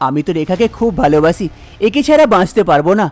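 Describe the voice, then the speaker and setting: A woman's voice: fairly low pitch, big pitch swings, fast talker, a little mellow. A woman voicing an earnest young groom in love in a cartoon story. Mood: pleading. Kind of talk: Bengali cartoon story dialogue.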